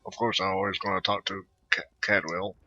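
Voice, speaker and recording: A deep, husky man talks casually as he plays a video game. The sound is dry and close, with no room to it. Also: level tone, a little fast, sharp tone, no room sound. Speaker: gamer commenting on a playthrough. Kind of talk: casual gameplay commentary. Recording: dull, lo-fi, dry home recording.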